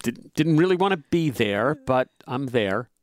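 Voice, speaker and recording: A man in his seventies talking in a measured, hesitant way with many fillers, as a podcast co-host in casual conversation. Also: medium pitch, expressive, no room sound. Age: 70s.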